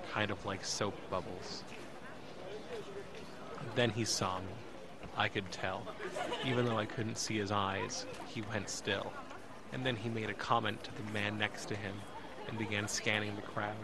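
A man playing a frightened young man, narrating a tense ordeal in first person in an audio drama, halting and intense. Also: fairly low pitch. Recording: busy airport crowd behind.

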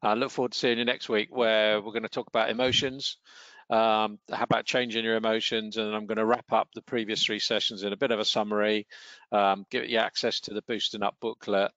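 A man co-hosting a training webinar, giving clear, organised closing announcements. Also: on the low side, level tone, fairly quick, fairly bright tone, no echo. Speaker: webinar co-host. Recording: dull dry video call audio.

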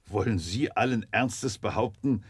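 A man's voice: fairly low pitch, measured pace, breathy edge, no room sound. A man playing a blunt, skeptical, impatient army general in a children's sci-fi radio play, with a brusque manner.

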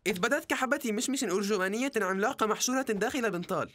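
Woman doing a hyper cartoon cat kid, boy, talks quick, dramatic, noisy behind. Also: mid-range voice, natural voice. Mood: disgruntled. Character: excitable, dramatic young boy cat, mischievous schemer. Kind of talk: cartoon dub acting.

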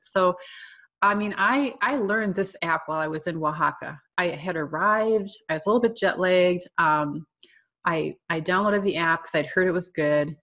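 A woman's voice: on the low side, a bit bright. A woman giving a casual, chatty slide presentation to an online art class as the artist who teaches it. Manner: informal, chatty, conversational, with frequent asides.

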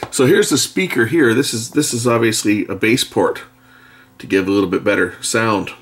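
A middle-aged man giving a casual hands-on narration as an electronics repair presenter, speaking haltingly as he thinks aloud at an indoor workbench. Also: on the low side. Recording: faint background noise, workbench clicks. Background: faint noise, clicks of handled parts.

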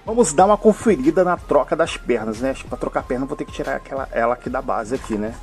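A man's voice: mid-range voice, lively intonation, quick pace, a little mellow, soft background music. A man with a mixed São Paulo and Rio accent, chatting through a casual toy review, full of tag questions and cheerful asides. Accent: mixed São Paulo and Rio de Janeiro Brazilian Portuguese. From Brazil. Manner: chatty, fills with "né?" and "hein?", enthusiastic.

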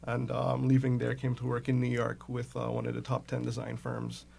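A man's voice: mid-range voice, completely flat delivery, moderate pace, vocal fry, darkish tone. A man in his thirties from Trinidad and Tobago, a guest on a radio show, easygoing into a studio mic. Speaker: guest, entrepreneur. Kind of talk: radio interview. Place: small padded radio studio. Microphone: studio mic with pop filter.

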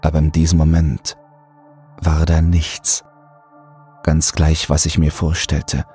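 A man narrating a scripted horror audiobook in a deliberate, brooding delivery. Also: a bass voice, completely flat delivery, dark tone, breathy edge, soft background music.

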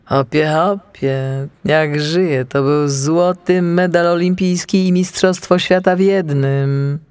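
A man playing an excitable, admiring flying animal friend in a children's audiobook, speaking in emphatic exclamations. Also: on the high side, takes it slowly, clean tone, no room sound. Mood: admiring, excited. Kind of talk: children's audiobook character acting.

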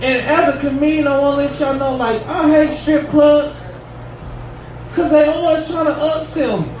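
A man in his thirties works through a stand-up set with a casual, profane, drawling delivery. His voice sits high. He sounds distant in an echoey bar room with steady background noise. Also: moderate pace. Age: 30s. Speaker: stand-up comedian. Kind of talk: stand-up set at an open mic. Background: audience ambience.

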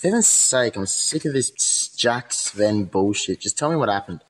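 An actor playing a weary, embittered special agent in a modern radio play, blunt and exasperated. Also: male voice, on the low side, animated, moderate pace, slightly rough. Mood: weary, shaken.

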